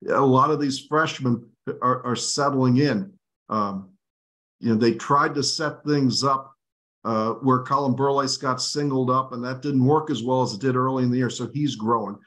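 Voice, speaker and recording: A middle-aged man hosts a postgame show, walking through the game in a calm, analytical way. He speaks at an ordinary pitch and pace. His voice is picked up by a webcam on a video call. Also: normal speed, rough recording. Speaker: host. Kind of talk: postgame sports analysis chat.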